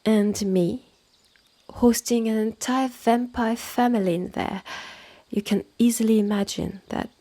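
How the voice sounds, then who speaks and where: A woman with a French accent voicing a stern, haughty witch in an audio roleplay, condescending and deliberate, with a creaky, partly hushed delivery. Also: fairly high pitch, steady tone, natural voice. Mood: condescending, ominous. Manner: condescending, deliberate, clipped phrases with exasperated sighs. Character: stern, haughty witch innkeeper, sardonic and impatient. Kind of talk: scripted second-person audio roleplay, close and measured.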